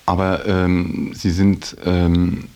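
A man giving reflective interview answers in a hesitant, halting, thoughtful way. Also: deep voice, completely flat delivery, warm tone.

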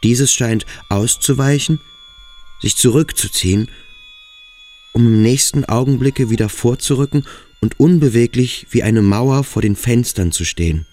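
A man in a radio play acting a brooding, dreamy schoolboy who muses in deliberate, poetic phrases. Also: medium pitch, darkish tone, lightly acted. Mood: hushed, brooding. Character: brooding, dreamy adolescent schoolboy lost in his sensations. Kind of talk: German radio play acting, introspective monologue and dialogue.

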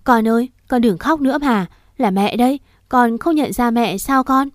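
Woman doing a frantic young mom, choked up and yelling, pitched up high, dry sound. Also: animated, moderate pace, dry recording, close to an everyday voice. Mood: tearful pleading. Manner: sobbing pleas turning to shouted fury. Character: desperate young mother, tearful and fierce.

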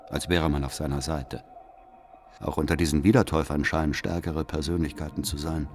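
Very deep-voiced, calculating spy. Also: male voice. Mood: servile, calculating. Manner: formal, deferential, measured. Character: cunning male spy, servile and coldly calculating. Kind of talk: radio drama, letter read as inner monologue.

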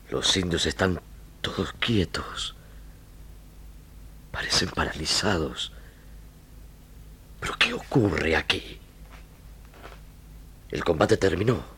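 An actor playing an earnest army lieutenant in a theatrical, halting style of old radio drama. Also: male voice, sits low, sharp tone, slightly rough, full character voice. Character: earnest young army lieutenant, dazed then defiant. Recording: noisy old radio archive tape.

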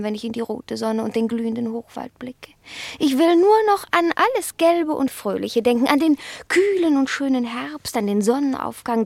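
A woman's voice: high-pitched, creaky, dry recording. A woman playing a proud, cruel, playful house cat in a 1960 radio play, haughty and teasing in a theatrical style. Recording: dry 1960 radio studio recording.

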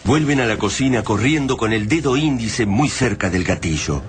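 A man's voice: medium pitch, expressive, moderate pace, lightly acted. A man with an Argentine accent narrating a science fiction radio drama as a grave, solemn storyteller. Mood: grave, suspenseful. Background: music.

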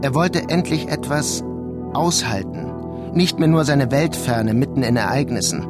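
An actor playing a brooding, detached narrator in a literary radio drama, speaking in a measured, sombre way. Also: male voice, medium pitch, expressive, lightly acted. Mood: brooding, reflective. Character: brooding, detached man narrating a war-torn city. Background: music behind the voice.

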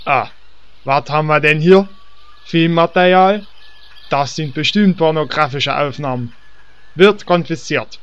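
A man voicing a pushy police officer who shouts curt orders in a comedy audio drama. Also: on the high side, measured pace, long pauses, clear, resonant tone, muffled recording, voice pushed up. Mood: bossy. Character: barking pushy male cop.

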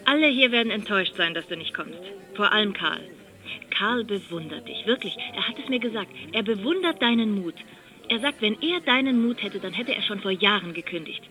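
An actress playing a chatty office worker on the phone, familiar and persuasive, in radio drama dialogue. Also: female voice, mid-range voice, expressive, fairly quick, sharp tone, poor audio.

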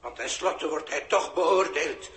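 A man's voice: fairly high pitch, unhurried, fairly bright tone, a little hiss or hum. An actor playing a proud, tender old father in a 1960s radio drama. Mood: reassuring. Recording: echoey 1960s radio recording.

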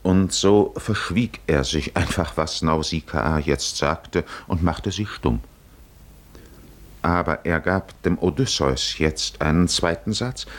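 A man narrating a 1950s literary radio play in a musing, measured manner with deliberate pauses. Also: sits low.

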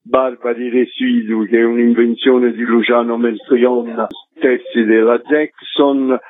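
Man, phone-line sound, medium pitch, radio interviewee, eloquent, rhetorical, fond of lists. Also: no echo. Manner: eloquent, rhetorical phrasing with listed repetitions. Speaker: festival artistic coordinator being interviewed. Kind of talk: radio interview, explanatory talk.

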